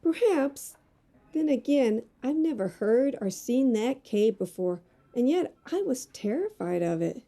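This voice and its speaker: Woman acting a resolute lady reporter, high mellow voice with some fry, earnest, radio play style. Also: very animated, measured pace. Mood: uneasy but resolute. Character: determined, independent young female magazine reporter. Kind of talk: audio drama acting.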